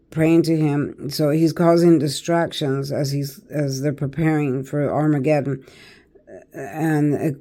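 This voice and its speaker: Raspy, hoarse older woman preaching. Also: low-pitched, mellow. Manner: preachy and emphatic, with frequent "okay" and "all right" check-ins. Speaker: tarot reader. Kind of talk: casual spiritual monologue to camera.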